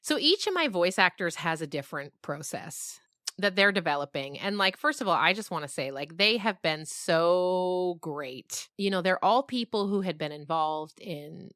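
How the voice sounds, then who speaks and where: A middle-aged woman talking casually in an interview as an author and podcast creator, reflective and animated as she tells her stories. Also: lowish voice, moderate pace, dry recording, clean studio sound.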